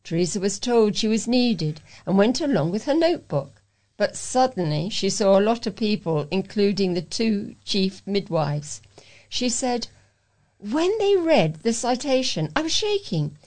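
Woman, mid-pitched voice, amateur newsreader, careful read-aloud delivery, occasional stumbles. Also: sing-song, measured pace, darkish tone. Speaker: volunteer local news reader. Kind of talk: read-aloud local news for a talking newspaper.

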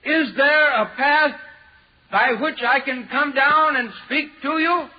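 A reporter calls out from a height in a 1940s radio play. His voice is pitched very high and the words are stretched slowly, on a dull, aged recording. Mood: calling out. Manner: calling out in drawn-out shouts. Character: reporter hailing loudly from atop a ravine.

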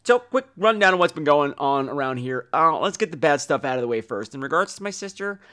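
A man hosting a podcast in a casual, chatty monologue, with a campy, theatrical manner and drawn-out emphasis. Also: a little high, lively intonation, sharp tone. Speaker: podcast host.

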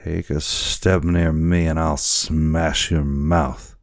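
A man's voice: a bass voice, level tone, slow, no room sound, clean studio sound, heavily acted. A man voicing a brash, drunken boatswain, suspicious and threatening, with blustering colloquial talk in a storybook reading. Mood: drunken, defiant.

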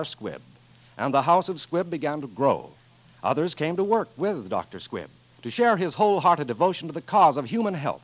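A man working as a 1940s radio announcer, reading scripted copy in a polished, measured, declarative manner. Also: fairly high pitch. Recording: dull old radio recording.

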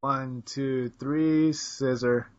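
An American man co-hosts a podcast and banters in an easy, teasing way, now and then erupting into big excited shouts. His voice sits at a middling pitch. The audio is a little muffled and lo-fi. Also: extremely slow, slight background noise. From United States. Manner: playful, teasing, bursts into loud excited yells. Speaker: podcast co-host. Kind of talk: casual banter.

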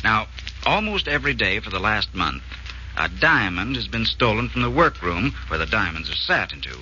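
Sharp-toned, courteous radio insurance man. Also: lowish voice, big pitch swings, normal speed, heavily acted. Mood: earnest, explaining. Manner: courteous, hesitant, coaxing. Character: polite, persistent male insurance agent, shrewdly coaxing. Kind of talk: 1940s radio acting. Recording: muffled old radio recording, faint hiss.

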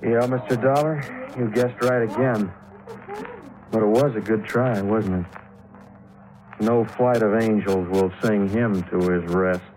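An American actor playing a polite, unassuming studio technician who is evasive, in a 1950s radio drama. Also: male voice, on the low side, fairly even intonation, a little slow, close to an everyday voice, low-quality recording. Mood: resigned confession. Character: deferential male studio technician hiding his guilt. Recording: vintage 1950s radio broadcast recording.

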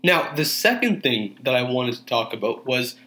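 A man's voice: mid-range voice, sing-song, moderate pace, pushed and bright. A young man, Urdu-speaking family background, delivering an earnest monologue that urges listeners to act, with frequent fillers and restarts. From Canada.

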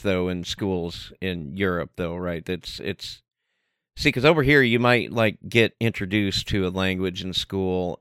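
A man's voice: low voice, fairly bright tone, clear, resonant tone, no room sound, clean studio sound. A man co-hosting a podcast in casual chat, rambling and repeating words as he thinks aloud. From United States. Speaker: podcast co-host.

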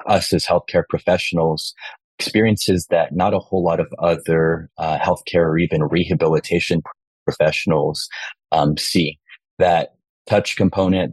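Brief: male guest, very low and flat voice, husky, measured delivery with many ums. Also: no room sound, rough recording. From Texas. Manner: measured, steady, frequent ums and uhs. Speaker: guest, rehab director. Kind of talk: interview answers. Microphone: remote call.

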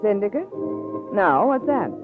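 An actress playing a cool, protective young fiancée in 1950s radio crime drama, brisk, direct and no-nonsense. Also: female voice, mid-range voice, sing-song. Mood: guarded. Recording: muffled vintage radio recording, faint music.

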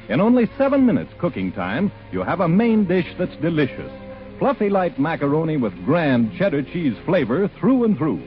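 An American man reading a 1940s radio advertisement in a polished, persuasive announcer style. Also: on the high side. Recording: muffled 1940s radio broadcast, faint music.

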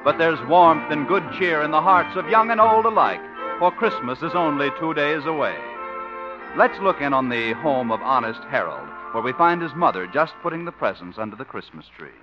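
A man's voice: a little high, animated, moderate pace. A man announcing and narrating a scripted 1950s radio show. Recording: muffled old radio recording. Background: prominent music.

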